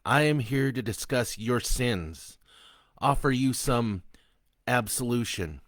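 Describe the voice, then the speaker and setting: A man's voice: medium pitch, animated, slow pace, no echo, studio quality, voice pushed up. A man voicing a grave, shaken priest. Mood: stern. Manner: grave, stern, restrained.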